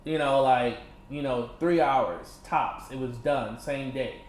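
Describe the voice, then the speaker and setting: A man in his thirties from Michigan, chatting casually as an interview guest, animated, laughing often and filling with "you know". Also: medium pitch, takes it slowly, a little hiss or hum. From Michigan, USA. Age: 30s. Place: indoors in a condo. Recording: echoey room with faint ambience.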